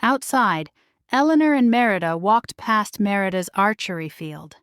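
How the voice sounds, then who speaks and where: Smooth-voiced woman reading a bedtime story. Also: medium pitch, animated, moderate pace, a bit bright, no room sound, studio quality. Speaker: storybook narrator. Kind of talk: scripted narration of a children's bedtime story.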